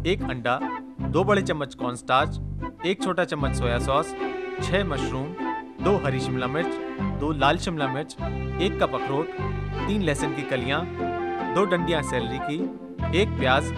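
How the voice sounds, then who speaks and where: A man reading a scripted recipe recap as an instructional voice-over. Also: a little high. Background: loud music.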